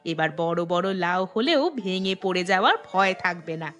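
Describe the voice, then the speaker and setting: A woman playing a practical, chatty village housewife in a Bengali cartoon, speaking in an expressive, homely way. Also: fairly low pitch, normal speed, soft background music, close to an everyday voice. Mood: busy, content.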